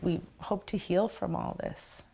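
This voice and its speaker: A woman's voice: lowish voice, steady tone, a little slow, creaky. A woman speaking in a documentary interview. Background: loud music.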